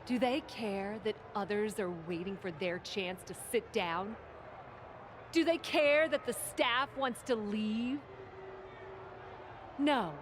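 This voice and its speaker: A woman playing a scornful female wrestler delivering a deliberate, theatrical, sardonic promo in a video game. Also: mid-range voice, noisy background, natural voice.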